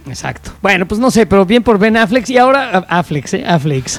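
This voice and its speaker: Mexican male co-host, high-pitched, rowdy slangy banter. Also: big pitch swings, a little fast, soft background music. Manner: loud, joking, slangy, laughs a lot. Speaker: show host. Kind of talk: casual comedic chat.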